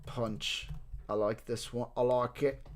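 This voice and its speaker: A man's voice: medium pitch, fairly even intonation, takes it slowly. Casual Irish man hosting a livestream. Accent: neutral Irish. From Ireland and several countries abroad. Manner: informal, sweary, talking to viewers. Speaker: livestream host. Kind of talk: casual livestream chat reacting to team news.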